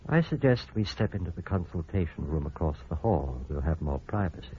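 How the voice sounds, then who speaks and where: An actor playing a controlled eye surgeon with a bitter streak, measured and authoritative in an old radio drama. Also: male voice, deep voice, animated. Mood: professional, soothing. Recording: muffled old radio recording with hiss.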